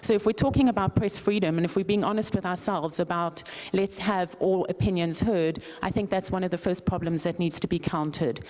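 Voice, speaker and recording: Woman with a South African accent, low-pitched, firm and assertive, speaking up at a conference. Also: steady tone, a little fast, few pauses, darkish tone. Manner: firm, assertive, prepared argument. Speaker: conference participant, journalist. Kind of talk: public statement at a conference.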